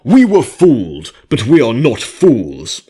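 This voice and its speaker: A man's voice: medium pitch, wildly swooping pitch, slow, mellow, breathy edge, pitched above the performer's own voice, full character voice. A man voicing a proud, formal pony noble who speaks in an emphatic, declamatory way in a fantasy story. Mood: proud, resolute.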